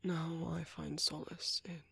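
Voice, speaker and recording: A British man playing a gentle, courteous vampire priest in an ASMR roleplay, speaking softly and breathily with polite, hesitant reassurance. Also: a little high, level tone, slow, dry recording, full character voice. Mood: wistful, reflective. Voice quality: soft, breathy, husky. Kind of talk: ASMR roleplay, soft intimate whispery speech.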